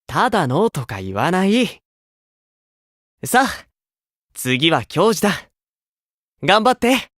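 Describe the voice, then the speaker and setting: Gentle, lilting, sentimental male idol. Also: high voice, very animated, slow, long pauses, no echo, clean studio sound, exaggerated voice. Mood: doting, moved. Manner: gentle, emotional, affectionate. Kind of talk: Japanese mobile game voice acting.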